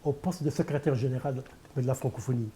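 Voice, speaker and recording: Dark-voiced older man, emphatic. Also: mid-range voice, fairly even intonation, fairly quick. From Senegal. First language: Pulaar. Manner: emphatic, repeats key phrases, rhetorical. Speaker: poet and candidate guest. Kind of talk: televised interview.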